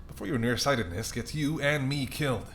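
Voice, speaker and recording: A man acts out a mafia boss in an intimate audio roleplay, with a mid-pitched male voice. He is courteous and apologetic yet dryly sardonic, talking in a conversational way straight to the listener. A clear rumbling noise sits behind the voice. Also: fairly quick, close to an everyday voice. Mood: exasperated. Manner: conversational, sardonic, exasperated, softening into polite charm.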